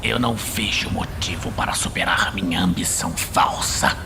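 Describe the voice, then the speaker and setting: A man's voice: sits low, moderate pace, vocal fry, whispered, voice pushed down. A man voicing a proud, bitter orc warlock with a snarling, scornful manner in a fantasy audio series. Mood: sullen defiance. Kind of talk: dramatic fantasy audiobook acting.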